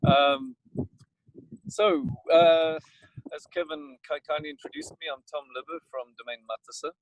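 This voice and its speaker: A man with a South African accent, a winemaker talking casually and haltingly with many fillers while standing outdoors in a vineyard, tired and coughing now and then. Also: fairly high pitch, slight background noise, low-quality recording.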